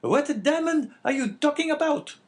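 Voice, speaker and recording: A shrill, scolding innkeeper's wife from a classic storybook. The voice sits very high and jumps out loudly in impatient exclamations. The manner is bossy and full of warning. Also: male voice, lively intonation, moderate pace. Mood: excited.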